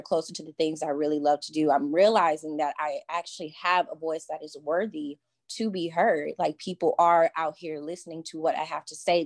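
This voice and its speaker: Earnest young woman, low and dry. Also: dry recording. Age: young adult. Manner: earnest, measured, repeats herself to stress points. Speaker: podcast host. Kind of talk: podcast introduction.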